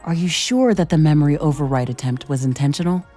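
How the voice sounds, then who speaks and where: Low, mellow woman scientist-commando. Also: soft background music. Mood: reflective. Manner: measured, thoughtful, professional. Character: earnest, curious female scientist-commando piloting a mecha suit. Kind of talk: scripted sci-fi game dialogue.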